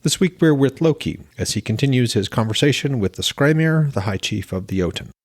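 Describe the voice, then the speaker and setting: A man's voice: medium pitch, expressive, a little fast. A man hosting a fiction podcast, speaking in a scripted narration style as an author reading his fantasy work. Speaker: podcast host, author reading own novel.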